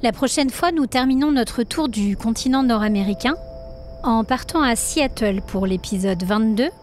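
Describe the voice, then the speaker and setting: A woman's voice: fairly high pitch, normal speed, a little mellow, slight background noise. A woman, giving dramatic scripted narration of a supernatural story. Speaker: narrator and podcast host. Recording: music bed under narration. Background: music.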